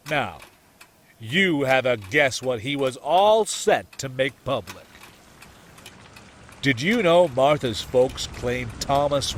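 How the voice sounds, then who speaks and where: Guy doing smug gossipy mayor, middle-aged man, drawn out and highish, motion comic. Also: a bit bright, a little hiss or hum. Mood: smug, conspiratorial.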